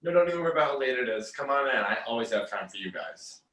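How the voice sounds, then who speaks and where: A man's voice: mid-range voice, animated, fast, a bit bright, roomy sound, recorded from a distance, lightly acted, rough recording. A man in a table read playing Ed, an easygoing, teasing computer repair worker who talks in casual slang. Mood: welcoming. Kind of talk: table read of a comedy script.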